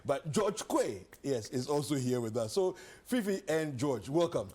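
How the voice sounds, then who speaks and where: A man's voice: a little high, very animated, a little slow, a little mellow. A Ghanaian man hosting a live morning TV show, often hesitating and backtracking as he goes. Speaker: TV breakfast show host. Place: TV studio set.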